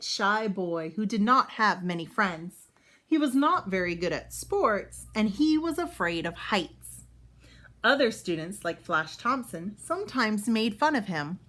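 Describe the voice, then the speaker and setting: A woman reading a children's book aloud as a storyteller, expressive and pausing to explain things for young listeners. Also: mid-range voice.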